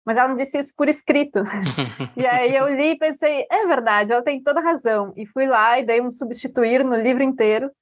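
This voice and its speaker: A woman's voice: high-pitched, expressive, normal speed, dry recording. A woman novelist from southern Brazil with a Porto Alegre accent, chatting casually in an interview, telling stories with lots of fillers and easy laughter. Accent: Porto Alegre Brazilian Portuguese. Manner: chatty storyteller, laughs easily, many fillers. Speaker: novelist guest.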